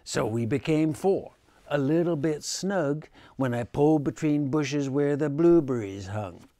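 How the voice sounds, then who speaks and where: An older man reading a children's picture book aloud with a theatrical, drawn-out delivery. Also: a little high, warm tone, no room sound. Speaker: storybook reader. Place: small furnished room indoors.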